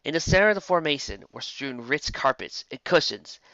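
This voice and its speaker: A man's voice: on the high side, animated, a little fast, sharp tone, no echo, dull audio. A man reading a scripted fantasy story aloud as a dramatic narrator.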